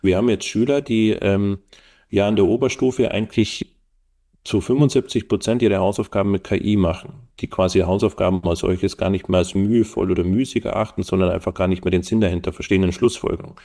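A man's voice: low-pitched, vocal fry, warm tone. A middle-aged man from the Allgäu, a podcast guest explaining his research thoughtfully with frequent fillers. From Allgäu, Germany. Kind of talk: podcast interview.

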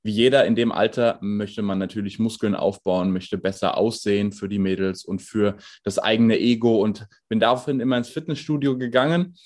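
A young man in his twenties with a lowish voice talks through his coaching career. He explains at a relaxed pace and fills his pauses with hesitations. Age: 20s. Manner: relaxed, explanatory, with many fillers. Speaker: strength and conditioning coach. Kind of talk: podcast interview.

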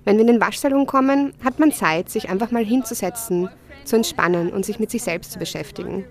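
A woman's voice: mid-range voice, brisk, vocal fry, close to an everyday voice. A woman dubbing a hardworking woman in her thirties, speaking plainly and conversationally. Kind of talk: dubbed voice-over of an interview.

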